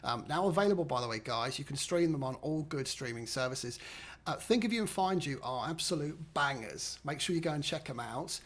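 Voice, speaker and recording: A man's voice: a little high, expressive, fairly quick, a bit bright. A man co-hosting an interview, upbeat and promotional, plugging songs to the viewers. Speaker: co-host.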